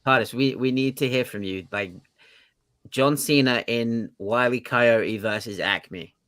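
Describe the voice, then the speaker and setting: A man's voice: mid-range voice, measured pace, a bit bright. A man co-hosting a casual movie chat, talking in a blunt, slangy way. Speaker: podcast co-host.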